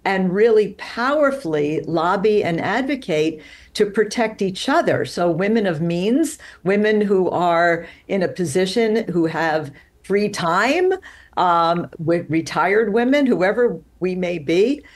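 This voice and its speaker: A woman's voice: fairly low pitch, lively intonation, takes it slowly. A woman representing an advocacy group on a live panel over a video call from her living room, earnest and rallying.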